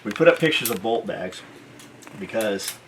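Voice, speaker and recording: A man's voice: fairly low pitch, expressive, a bit bright. Blunt man hosting over noticeable background noise. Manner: blunt, profane, halting explanations. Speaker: host of a military gear video. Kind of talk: casual unscripted chat.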